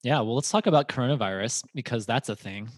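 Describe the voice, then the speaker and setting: A man's voice: medium pitch, quick pace, breathy edge, dry recording. Chatty upbeat male podcast host, dry sound. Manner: upbeat, chatty, laughs often. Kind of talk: casual podcast chat.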